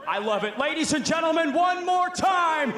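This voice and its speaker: A man working as event MC, interviewing fighters and calling out their names in long, drawn-out shouts. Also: expressive, faint music behind. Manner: booming drawn-out name calls. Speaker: ring announcer and interviewer. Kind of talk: hyped event MC. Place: large hall with crowd. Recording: echoey hall, loud crowd noise.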